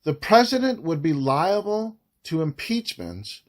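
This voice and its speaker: A man reads a formal report aloud, phrasing it deliberately and emphatically with frequent pauses. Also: fairly high pitch, sing-song. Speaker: narrator reading a report aloud.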